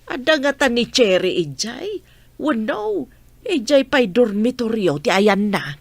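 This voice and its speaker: A woman's voice: fairly high pitch, sing-song, measured pace, creaky, dry recording, heavily acted. A woman with an Ilocano accent acting a fussy, kindly village auntie in a radio comedy drama, full of exclamations. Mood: reluctant.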